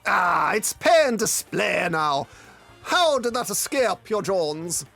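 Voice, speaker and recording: A man's voice: high voice, very animated, takes it slowly, vocal fry, sharp tone, faint music behind, heavily acted. A man acting a pedantic, self-satisfied, rule-abiding detective inspector in a comic audio drama, with a pompous manner. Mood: smug, unflappable. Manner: pompous, pedantic, drops in mangled French.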